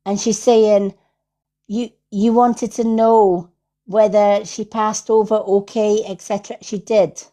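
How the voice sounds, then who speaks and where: A Scottish woman hosts a casual livestream as a medium. She speaks slowly in a soft-edged, mellow voice. Her manner is affirming and repetitive, with frequent little check-ins. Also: medium pitch, little pitch movement. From Scotland. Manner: affirming, repeats herself, tags with "right?". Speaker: psychic medium hosting a livestream. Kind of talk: casual livestream spiritual reading.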